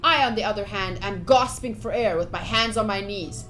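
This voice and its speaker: A woman livestreaming a visual novel playthrough, reading the text aloud with excitable, playfully dramatic and casual commentary. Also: medium pitch, normal speed, faint music behind, rough recording. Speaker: streamer playing a visual novel.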